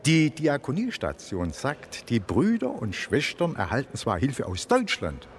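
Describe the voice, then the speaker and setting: An actor playing a self-assured, scheming police chief with a Swabian accent, expansive and ironic, in a radio drama. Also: male voice, mid-range voice, wildly swooping pitch, unhurried, a little hiss or hum. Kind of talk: German radio drama acting.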